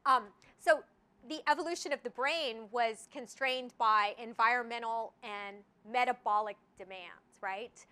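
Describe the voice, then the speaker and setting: Woman, guest lecturer, high voice, bright tone, lively, asides, rhetorical questions. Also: measured pace, clean tone. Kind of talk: academic lecture. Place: lecture hall. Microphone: handheld or podium mic.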